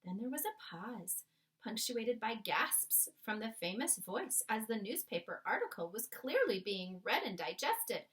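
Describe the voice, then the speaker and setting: Bright, animated woman reading a storybook. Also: on the high side, unhurried. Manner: dramatic, emphatic storytelling. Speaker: storybook reader. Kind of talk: expressive children's read-aloud narration.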